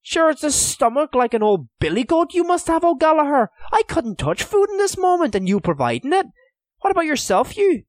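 A man's voice: sits high, very animated, measured pace, darkish tone, no room sound, pitched above the performer's own voice, exaggerated voice. A man voicing a wry Irish prisoner who jokes through his misery, exclamatory, in an audiobook story. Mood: incredulous, queasy.

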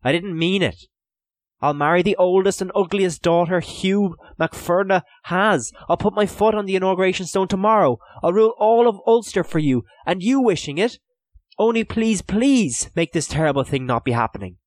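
A man's voice: high-pitched, animated, a little slow, darkish tone, no echo, voice pushed up. A man voicing a guilt-ridden, hot-tempered young Irish chieftain's son held captive, earnest and pleading in an audiobook story. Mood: desperate pleading.

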